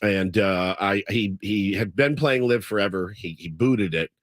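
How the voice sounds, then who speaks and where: A man's voice: fairly low pitch, unhurried, no echo, studio quality. A man hosting a sports radio talk show, casually telling a long story and acting out what people said. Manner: animated storyteller, acts out quotes.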